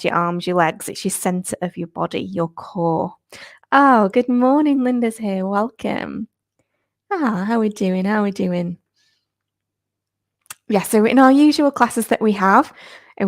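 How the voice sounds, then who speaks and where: Chatty fitness instructor. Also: female voice, medium pitch, no echo, clean studio sound. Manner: chatty, upbeat, laughs often. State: slightly croaky. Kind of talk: live-stream chat with viewers. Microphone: new clip-on microphone.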